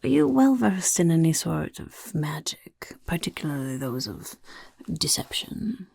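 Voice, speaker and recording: A woman voicing a scheming male goblin who interviews recruits for a chaos horde, in a whispery soft-spoken ASMR roleplay, talking of himself in the third person. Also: sits low, big pitch swings, measured pace, no room sound, heavily acted. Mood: curious. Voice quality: gravelly.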